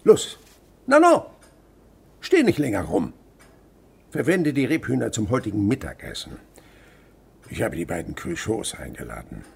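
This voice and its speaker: Gravelly, domineering old miser. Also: male voice, lowish voice, wildly swooping pitch, normal speed, pauses often, a little mellow, full character voice. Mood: bossy, impatient. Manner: curt, commanding. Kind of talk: radio drama acting.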